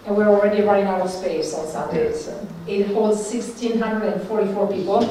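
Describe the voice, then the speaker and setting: A middle-aged religious sister with an Italian accent gives an informal, conversational talk to a group. Her voice sits at an ordinary pitch for a woman. It is picked up from a distance in a very echoey room, with faint noise behind her. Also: steady tone, fairly quick, dark tone. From Northern Italy.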